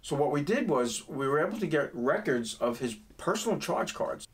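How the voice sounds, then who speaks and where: A man's voice: a little high, very animated, moderate pace. A middle-aged man from New York with a New York accent, chatting casually as a guest and telling stories with jokes. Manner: storytelling with jokes. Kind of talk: casual chat.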